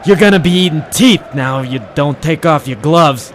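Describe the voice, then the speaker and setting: A cold, threatening thug, acted by a man, with a somewhat high male voice. He barks curt orders like a crime-drama heavy from late 1940s radio. There is light noise from the old recording. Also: normal speed, close to an everyday voice. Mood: cold menace.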